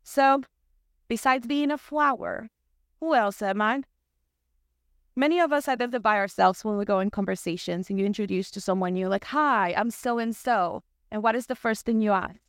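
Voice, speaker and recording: A woman's voice: mid-range voice, moderate pace, dry recording, clean studio sound. A young woman from El Salvador with a Salvadoran Spanish accent, a native Spanish speaker, gives a conversational personal talk to a church congregation, often saying "like" and "right?". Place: church sanctuary.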